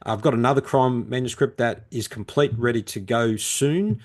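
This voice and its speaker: A man's voice: mid-range voice, moderate pace. Middle-aged man, conversational. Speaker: podcast guest, crime novelist. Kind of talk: conversational interview answers.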